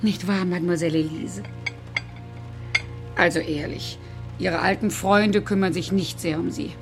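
A woman's voice: lowish voice, steady tone, a little slow, music in the background. An actress playing a chatty housekeeper who cares for a patient, fussing over her in a motherly tone in a radio drama. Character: chatty older female housekeeper and carer, fussing.

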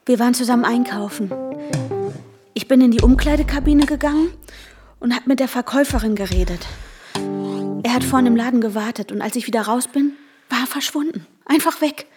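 An actress playing an anxious, controlling woman searching for her missing fiancé in a radio drama, intense and hushed, in short clipped sentences. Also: a little high, a little fast, lightly acted. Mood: pleading, urgent. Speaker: protagonist and narrator. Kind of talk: radio drama inner monologue and dialogue. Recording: music under the voice. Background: music.

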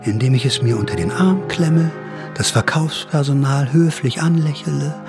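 A man's voice: a little high, normal speed, music in the background, close to an everyday voice. An actor playing a sly older counterculture veteran who narrates his memories in a radio drama, confiding, wry and theatrical. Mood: confessional, ironic.

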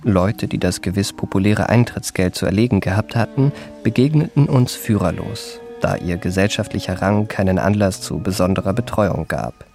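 Low, creaky, cultivated storyteller. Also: male voice, lively intonation, darkish tone, soft background music. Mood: self-satisfied, reflective. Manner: ornate, elaborate, deliberate phrasing. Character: cultivated male confidence man recounting his youthful adventures. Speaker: narrator. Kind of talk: literary first-person radio drama narration.

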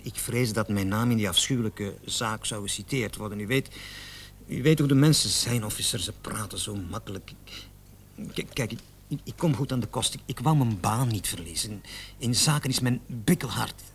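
A man's voice: on the low side, normal speed, lightly acted. A man acting in a radio drama as a nervous, evasive salesman under questioning, stammering and repeating himself. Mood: anxious, pleading. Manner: stammering, flustered, repeats words. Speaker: suspect under police questioning. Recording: noisy old radio play recording.

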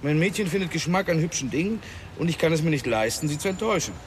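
A man's voice: on the high side, lively intonation, quick pace, natural voice. A male actor playing a cocky, chummy, crude boxer cop with a casual, bantering delivery in a radio play. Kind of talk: German radio play dialogue. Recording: outdoor city traffic noise behind.

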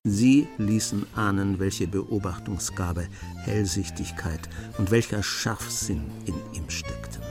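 A man's voice: on the low side, slow pace. A man reading scripted literary narration as the narrator of a German radio drama. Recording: music behind the narration.

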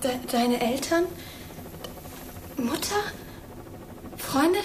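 An actress playing a shaken teenage girl in a naturalistic German radio drama, probing with short, halting questions. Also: high-pitched, noisy background. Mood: stunned, tender.

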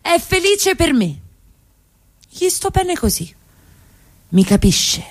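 A woman's voice: very high voice, sing-song, slow, heavily acted. A woman acting in radio theatre as a married lover, pleading and defensive, questioning and protesting in exasperation. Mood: coaxing, exasperated.

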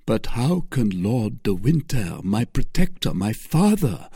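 A man voicing a fervent, excitable young Puritan officer who cries out in zeal, in an audiobook reading. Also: fairly low pitch, a little slow, gravelly, breathy edge, dry recording, studio quality, heavily acted. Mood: troubled questioning. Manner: fervent, exclamatory.

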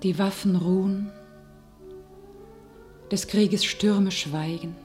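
An actress playing a young holy warrior maiden in a radio play, speaking solemn verse. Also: female voice, medium pitch, steady tone, soft background music, natural voice. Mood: hushed. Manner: slow, solemn verse, hushed in soliloquy.

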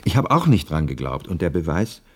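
Radio drama lead: earnest, fearful widower, low register, rough-edged husky tone, insistent and pleading. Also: male voice, big pitch swings, fairly quick, heavily acted. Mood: agitated, pleading. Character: anxious, earnest widower bent on summoning dead wife. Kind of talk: German radio play acting.

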